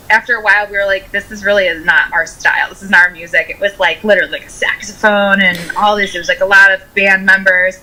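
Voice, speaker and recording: A woman's voice: mid-range voice, sharp tone, poor audio. A woman in her twenties with an American accent, chatting casually as a musician being interviewed, often saying "like" as she talks. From Rochester, United States. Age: 20s.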